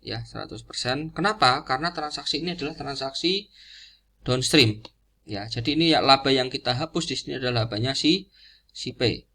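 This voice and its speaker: A man giving an informal explanatory lecture in a didactic, halting way, repeating key phrases as he goes. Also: mid-range voice, bright, forceful tone. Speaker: accounting lecturer.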